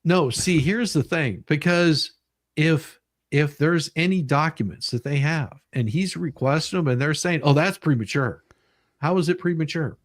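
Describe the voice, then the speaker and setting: A man's voice: on the high side, normal speed, no echo, studio quality. A man hosting a livestream, reading legal documents aloud and explaining them casually, repeating himself for emphasis and occasionally swearing. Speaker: livestream host.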